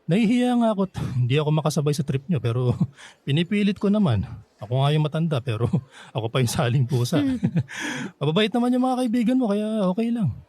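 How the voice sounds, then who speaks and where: An actor playing a gentle, self-effacing middle-aged Filipino man in a radio drama, with a soft, earnest delivery and a Filipino accent. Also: on the high side, lively intonation, fairly quick, no echo. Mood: warm, bashful. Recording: music behind the voice.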